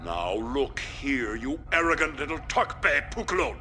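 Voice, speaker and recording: A blustering alien warrior colonel in a sci-fi game, with a very sharp, forceful voice. He is theatrical and sardonic, spitting scorn at his juniors over background music. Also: mid-range voice, very animated, slow, full character voice. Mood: scornful bluster. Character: blustering, scornful Klingon colonel commanding a warship. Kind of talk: video game cutscene acting.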